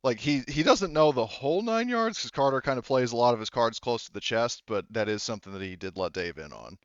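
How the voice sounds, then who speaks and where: A man narrating casually as game master, thinking aloud in a halting way. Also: mid-range voice, lively intonation, dry recording, dull audio.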